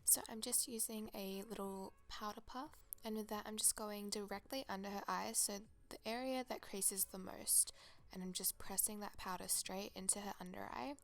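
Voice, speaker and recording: A woman's voice: medium pitch, fairly even intonation, normal speed, vocal fry. Chatty young woman with a crackly cold voice. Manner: chatty, enthusiastic product recommendations. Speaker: makeup artist. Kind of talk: voice-over makeup tutorial.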